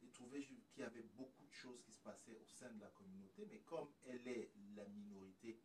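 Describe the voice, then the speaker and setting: A man's voice sounds somewhat away from the microphone, with slight noise. He hosts in a casual conversational style. His delivery is halting and roundabout, often restarting before he reaches the point. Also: mid-range voice, darkish tone. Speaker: host. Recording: distant mic with noise.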